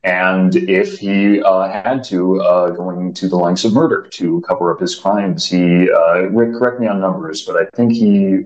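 A man's voice: sits low, fairly even intonation, a little slow, hardly any pauses, darkish tone. An actor, a man, explaining things as an interview guest, with muffled, roomy sound.

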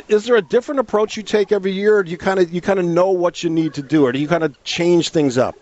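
A male radio host talks very quickly in a slightly high voice. He is conducting a conversational sports interview, and the sound is a little dull. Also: darkish tone.

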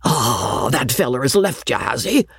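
A woman voicing a coarse, jovial old country baronet in an audiobook, with rough, gravelly, rustic speech. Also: a bass voice, very animated, measured pace, no room sound, clean studio sound, full character voice. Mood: gruff. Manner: rustic, colloquial speech, chuckling.

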